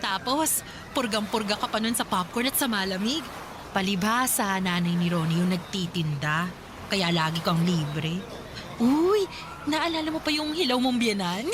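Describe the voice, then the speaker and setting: A woman's voice: medium pitch, normal speed, background noise, close to an everyday voice. An actress playing a playful, cheeky young woman who teases and exclaims in a radio drama. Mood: teasing, nostalgic. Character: teasing, cheeky young woman, the heroine's cousin. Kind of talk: Tagalog radio drama acting.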